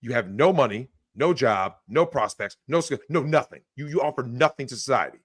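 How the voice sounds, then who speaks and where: A man's voice: medium pitch, expressive, a little fast, husky, dry recording, clean studio sound. A man giving blunt opinions on a livestream, talking emphatically, swearing and acting out the people he quotes. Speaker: guest.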